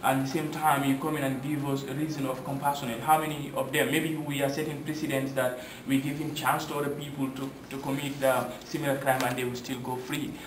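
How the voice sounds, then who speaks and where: A man's voice: mid-range voice, flat delivery, fairly quick, few pauses. A man at a conference speaking up in a group discussion, stumbling and repeating words insistently. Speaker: conference delegate. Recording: echoey room from far off, faint noise.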